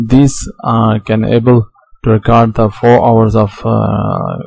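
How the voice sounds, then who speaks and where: Gravelly low-voiced male lecturer. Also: a little mellow, poor audio. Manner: halting, frequent restarts and fillers. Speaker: aviation instructor. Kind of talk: slide lecture. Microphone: voice-over on slides.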